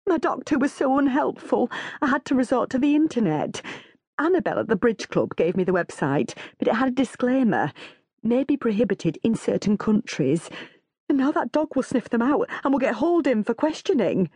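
A woman's voice: fairly low pitch, animated, fairly quick, few pauses, darkish tone, husky. A woman voicing an edgy, melodramatic middle-aged woman for an audiobook, with an anguished, moaning manner. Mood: moaning confession. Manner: gulping, moaning, dramatic.

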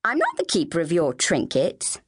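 A woman's voice: lowish voice, huge pitch swings, normal speed, husky, heavily acted. A woman voicing a precocious, indignant eleven-year-old girl in an audiobook, with precise, haughty, wordy speech. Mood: dismissive. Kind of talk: audiobook character dialogue.

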